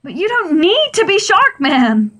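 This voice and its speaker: A woman playing an exasperated, sharp-tongued ex-wife and worried mother in a radio play. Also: very high voice, sing-song, measured pace, full character voice. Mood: exasperated, bitter.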